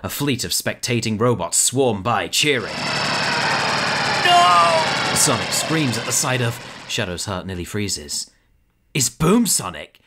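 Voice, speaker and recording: A man's voice: medium pitch, huge pitch swings, slow pace, creaky, heavy background noise. A man narrating a scripted audio drama in a dramatic storytelling style. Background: loud music.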